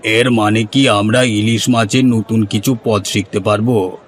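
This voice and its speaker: A man's voice: lowish voice, fairly even intonation, measured pace, a little hiss or hum, close to an everyday voice. A man voicing a curious, appreciative villager in a cartoon, speaking earnestly. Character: curious, appreciative male villager visiting a fish fair. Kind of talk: scripted cartoon dubbing.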